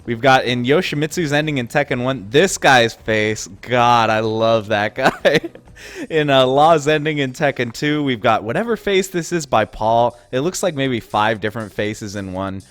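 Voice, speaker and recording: A man's voice: medium pitch, measured pace, fairly bright tone, no echo. A young man giving casual, wry commentary over game footage, amused and often laughing at the absurd moments. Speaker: gaming video host.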